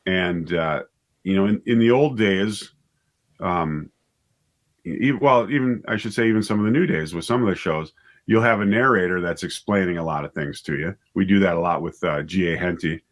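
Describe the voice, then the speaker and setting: A man being interviewed as a writer and director, explaining things casually and haltingly, often rephrasing himself as he goes. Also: low voice. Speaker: interview guest, writer and director of audio dramas.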